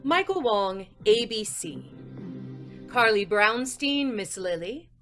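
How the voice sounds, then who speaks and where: Slow, dramatic mystery hostess played by a woman. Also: on the high side, expressive, pushed and bright, soft background music, full character voice. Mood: mysterious, formal. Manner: dramatic, measured. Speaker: announcer. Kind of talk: old-time radio scripted narration.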